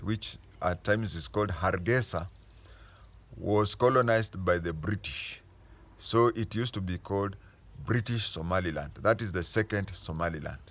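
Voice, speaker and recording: A man with a Kenyan accent talks through history like a patient storyteller. His voice sits fairly low. He pauses often, restarting phrases to set the record straight as he goes. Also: fairly bright tone. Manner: unhurried storytelling, stopping to restate and correct himself. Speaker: history explainer. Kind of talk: casual spoken history lesson.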